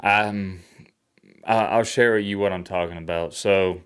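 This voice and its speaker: A man, rambling through casual sports commentary to his viewers with lively, rising emphasis and the odd sigh. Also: measured pace, clear, resonant tone. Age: 20s. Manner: animated, rising pitch when emphatic, sighing. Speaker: sports commentator and fan vlogger.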